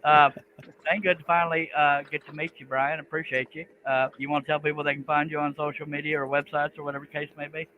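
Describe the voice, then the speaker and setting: A man's voice: a little high, level tone, quick pace. A man from Texas hosting a live stream in a folksy, casual way. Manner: folksy, says "dang". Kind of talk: casual chat. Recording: tinny phone-like line with faint noise.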